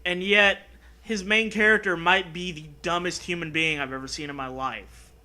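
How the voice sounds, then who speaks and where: A man's voice: high voice, steady tone, moderate pace, sharp tone. A man giving casual comedic commentary, mocking and sarcastic, with plenty of swearing. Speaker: co-host riffing on a story.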